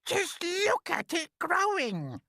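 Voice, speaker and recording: A mean old aunt with a very high, sharp, piercing voice. She shrieks and bosses, dragging out her words for effect. The sound is close and dry, as if from a storybook recording. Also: expressive, dry recording, heavily acted. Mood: excited. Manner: shrieking, snapping, drawn-out exclamations. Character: shrill, spiteful old aunt, bossy and nasty. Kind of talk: audiobook character voice.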